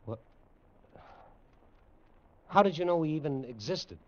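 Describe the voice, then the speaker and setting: An actor playing a bewildered human pilot in an old radio drama, halting and incredulous as he questions. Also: fairly high pitch, expressive. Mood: baffled. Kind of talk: 1950s radio science fiction drama acting. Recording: dull old radio recording.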